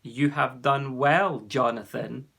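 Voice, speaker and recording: A man voicing a wise, solemn male warrior who speaks in slow, measured pronouncements. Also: mid-range voice, creaky, pushed and bright, slightly rough, full character voice.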